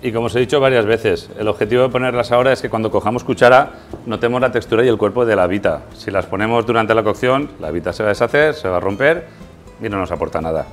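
Middle-aged Spanish chef explaining a recipe. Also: male voice, lowish voice, quick pace, soft background music. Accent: Spain. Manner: explanatory, instructional. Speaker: guest chef. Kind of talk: informal cooking demonstration. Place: indoors in a tiled kitchen set.

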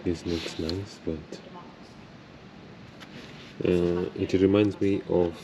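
A young man talks into a camera held close to his chest as he walks. His voice sits a little low, and he takes his time. Street and traffic noise comes through clearly behind him. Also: little pitch movement, mellow, low-quality recording. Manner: slow, thoughtful, chatty, says he struggles with R and L. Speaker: travel vlogger. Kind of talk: walking travel vlog. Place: outdoors at a rainy harbor, then inside a concert hall. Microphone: camera held close at chest height.

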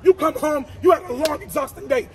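A man preaching on a city sidewalk at night, forceful and repetitive, with many stammered restarts, recorded on a handheld phone. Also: very high voice, fairly quick. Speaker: street preacher. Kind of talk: street preaching and debate. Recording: crowd noise on a street.

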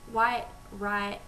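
A young woman from North Texas with a Texas accent, chatting to camera at home with lots of ums and reading out a word list over a weak, quiet webcam microphone. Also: a little high. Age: young adult. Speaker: vlogger. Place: small room at home, indoors. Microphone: webcam microphone, low volume. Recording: quiet webcam mic with hiss.

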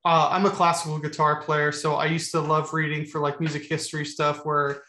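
A man's voice: a little high, little pitch movement, brisk, sharp tone, roomy sound, slight background noise. A man in his thirties, a music teacher, interviewing a guest on a video call in a friendly, encouraging, conversational way. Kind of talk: casual interview. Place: home room with guitars, video call.

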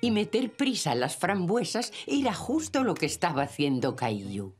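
A man's voice: high voice, sing-song, moderate pace, slightly rough, faint music behind, full character voice. A man narrating a preschool cartoon as a storyteller, in Castilian Spanish. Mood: gentle storytelling. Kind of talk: scripted children's story narration.

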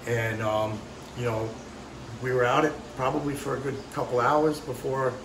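A middle-aged man, a fishing tournament winner, talking haltingly in a casual interview in a tackle shop. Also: medium pitch, steady tone, recorded from a distance. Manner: halting, lots of fillers. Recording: roomy, off-mic, noisy.

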